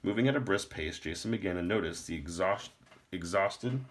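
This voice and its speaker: Guy with a deep low voice reading a storybook out loud, narrator style. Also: lively intonation, normal speed. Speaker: narrator reading a novel aloud. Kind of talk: storybook read-aloud narration.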